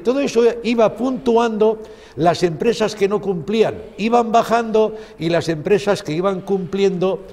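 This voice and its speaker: A man's voice: sits high, darkish tone, long reverb tail. A man in his sixties with an accent from Spain, presenting in conversation and making his points emphatically, one after another. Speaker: television presenter. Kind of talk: studio conversation with a reporter. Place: indoor TV studio.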